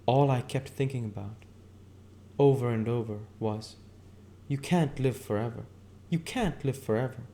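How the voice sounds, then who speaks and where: A man's voice: mid-range voice, moderate pace, vocal fry, pauses often, mellow, slight background noise, voice pushed up, lightly acted. A man voicing a brash, gushing, affected married woman in a dramatic, gossipy way, reading from a novel. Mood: breathless, romantic. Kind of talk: character voice in an audiobook reading.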